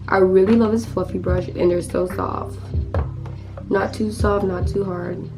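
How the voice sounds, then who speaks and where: Hoarse teenage girl chatting. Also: mid-range voice, steady tone, unhurried, music in the background. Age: teens. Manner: chatty, rambling, lots of fillers and restarts. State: hoarse, lost voice. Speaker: beauty vlogger. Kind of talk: casual makeup tutorial chat.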